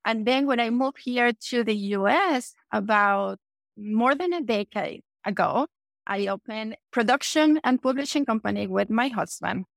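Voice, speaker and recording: A woman's voice: fairly high pitch, vocal fry, no echo. A woman in her forties from Venezuela with a Venezuelan Spanish accent, speaking English as a second language as a confident podcast guest who keeps adding "okay". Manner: confident, says "okay" often, self-corrects. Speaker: guest entrepreneur. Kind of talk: podcast interview.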